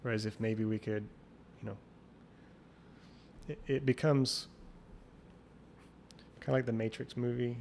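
Gravelly low-voiced man, flat delivery. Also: a little slow, pauses often. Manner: rambling, restarts often, thinks aloud. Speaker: guest, champion water skier. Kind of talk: casual interview conversation. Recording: remote call recording with faint noise.

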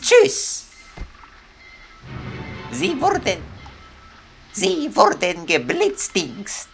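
Man putting on a high-pitched eerie cosmonaut. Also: huge pitch swings, drawn-out words, a bit bright, soft background music, exaggerated voice. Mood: eerily insistent.

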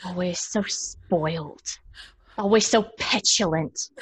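A young woman playing a bitter, greedy daughter in a horror audio drama, halting and intense. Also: fairly low pitch, fairly bright tone, slightly rough, full character voice. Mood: resentful. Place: small room at home, on video call.

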